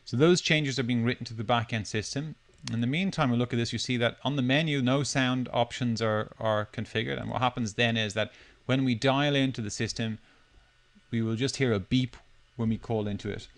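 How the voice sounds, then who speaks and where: A man presenting a software demo, talking through it step by step in a loosely unscripted, explanatory way. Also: mid-range voice, a little fast. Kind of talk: step-by-step screencast tutorial narration.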